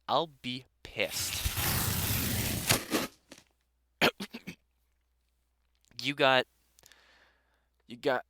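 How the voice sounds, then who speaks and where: A man playing a gruff older vampire hunter in a roleplay audio drama, a fond, teasing mentor with dry sarcasm who grows halting when sentimental. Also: medium pitch, pushed and bright, dry recording, exaggerated voice. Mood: exasperated affection.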